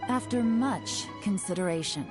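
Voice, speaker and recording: A woman playing a cool, shrewd female spymaster in a video game, measured, self-assured and commanding. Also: mid-range voice, lively intonation, over music, lightly acted. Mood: composed authority.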